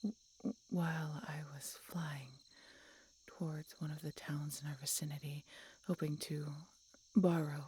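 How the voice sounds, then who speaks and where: Casting: actress for ancient dying dragoness, low whispery voice, tender, halting and pained, audio roleplay, slightly noisy recording. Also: female voice, little pitch movement, mellow. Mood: pained, grave. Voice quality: breathy, whispery. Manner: halting, weakened, pained delivery with dramatic pauses. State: weak and in pain. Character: mortally wounded centuries-old female dragon, tender and resigned. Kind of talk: dramatic audio roleplay addressed to listener.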